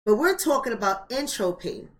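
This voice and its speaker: A woman's voice: fairly high pitch, very animated, normal speed. An American woman of about forty hosting a casual livestream, speaking colloquially and straight to her viewers, repeating phrases for emphasis. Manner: colloquial, addresses viewers directly. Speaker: host of a live online book club.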